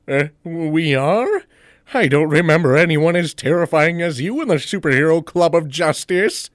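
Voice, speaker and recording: Man acting a lively, bumbling superhero. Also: on the high side, moderate pace, no echo, clean studio sound, lightly acted. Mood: sheepish, bluffing. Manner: stammering, mock-heroic. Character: bumbling, self-important male superhero, good-natured.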